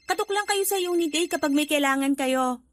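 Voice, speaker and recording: A woman's voice: high voice, a little fast, no room sound, faint music behind, lightly acted. A woman acting a generous, unwittingly boastful neighbour in a Tagalog radio drama, chatty and accommodating, with a Filipino accent and English words mixed in. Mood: breezy, generous.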